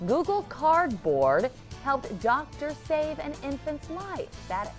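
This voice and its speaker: A woman's voice: high voice, very animated, slow pace, soft background music. A woman anchoring a TV newscast, reading scripted stories and teases. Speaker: TV news anchor. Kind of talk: scripted news presenting.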